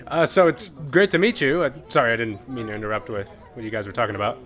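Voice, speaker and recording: Actor as awkward male newcomer, quick and apologetic, lively pitch; comedy audio drama. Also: faint music behind, natural voice. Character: awkward, apologetic man meeting strangers.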